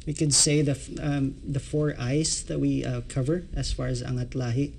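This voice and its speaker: A man's voice: mid-range voice, level tone, normal speed, gravelly, a little mellow, a little hiss or hum. A man, a guest in an interview, answering with many restarts and fillers. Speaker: guest, theater group member.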